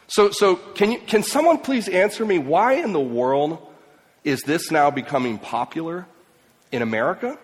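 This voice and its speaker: A man raised in Mexico and Ecuador, giving an emphatic speech to an audience, swinging between loud and quiet. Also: a little high, very animated, normal speed. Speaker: speaker. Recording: long hall reverb.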